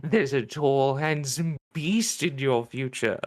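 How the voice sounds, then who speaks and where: Woman playing slow, fussy old lady. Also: very deep voice, a little mellow, no echo, clean studio sound. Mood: coaxing, reassuring. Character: half-blind, doting elderly former actress, cheerfully contrary. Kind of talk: screenplay table read.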